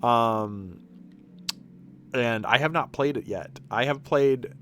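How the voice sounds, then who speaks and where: A man's voice: mid-range voice, slow, fairly bright tone, slight background noise. A man chatting casually on a game livestream, rambling and interrupting himself, with some mild swearing. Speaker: game streamer. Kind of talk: casual livestream gameplay chat.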